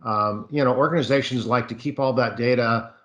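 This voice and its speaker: A man's voice: medium pitch, fairly quick, a bit bright, dull audio. A man speaking as a panelist on a video call, explaining his views at length with frequent fillers. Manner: expansive, thinking aloud with many fillers. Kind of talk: virtual panel discussion.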